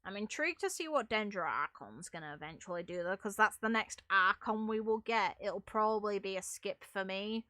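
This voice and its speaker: A woman's voice: mid-range voice, expressive, normal speed, hardly any pauses, sharp tone, dry recording. A woman doing casual, rambling gaming commentary in a frank, casually sweary way, like a streamer talking to viewers. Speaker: game streamer.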